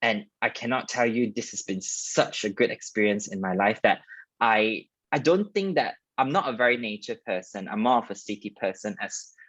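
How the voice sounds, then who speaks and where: A man's voice: fairly low pitch, expressive, normal speed, a bit bright, dry recording, rough recording. A young man from Malaysia with a Malaysian accent, telling personal stories in a chatty, wordy way as a guest dentist in a career talk. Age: young adult.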